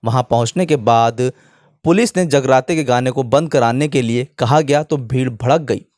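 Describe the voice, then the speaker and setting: A man delivers opinionated news commentary as an online presenter. His voice sits at an average pitch and sounds indignant, leaning on rhetorical questions. The sound is close and dry, with little room in it. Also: normal speed, a little mellow, dry recording.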